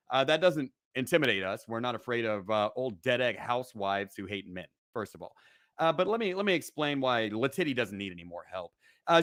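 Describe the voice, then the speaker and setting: A man's voice: mid-range voice, fairly bright tone, no echo, studio quality. A man giving an angry commentary rant in a scornful, sarcastic, profanity-laced manner.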